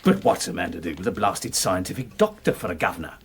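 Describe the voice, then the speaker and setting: An actor playing a gossipy working-class manservant in a radio drama, complaining colloquially over drinks. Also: male voice, deep voice, sing-song, fast, gravelly, a little hiss or hum, heavily acted. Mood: grumbling.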